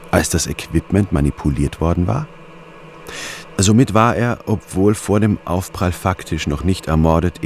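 A man's voice: extremely low pitch, a little mellow. An actor playing a brooding, analytical man narrating his obsessive research in a radio drama. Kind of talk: scripted first-person radio drama narration.

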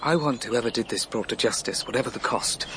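A man's voice: mid-range voice, a little fast, pushed and bright, a little hiss or hum, lightly acted. An actor playing a brooding, sardonic young man in a radio drama. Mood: earnest, confiding. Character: brooding, sardonic adopted son of a murdered mother.

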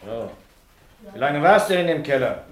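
Actor as wry schoolmaster, swinging intonation, roomy. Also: male voice, fairly high pitch, animated, close to an everyday voice. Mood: teasing irony. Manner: ironic, deliberate, thoughtful humming. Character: wry, teasing male schoolteacher, gently stern professor. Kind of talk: German radio play acting. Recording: roomy classroom-like echo.

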